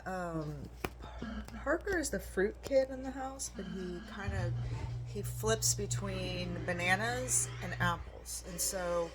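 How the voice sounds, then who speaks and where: A woman in her forties with a quiet voice, chatting casually and meandering while she bakes in her home kitchen, filmed on a phone. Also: on the low side, noisy background. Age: 40s. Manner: soft-spoken, meandering, self-deprecating. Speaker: home cooking vlogger. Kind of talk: casual rambling while baking.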